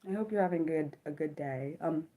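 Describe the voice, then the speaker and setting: A woman in her thirties hosting a personal livestream from home. She speaks haltingly, with many ums and apologetic self-corrections, and her voice sounds slightly sick. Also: low-pitched, mellow. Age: 30s. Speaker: livestream host. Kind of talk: personal reflective livestream talk.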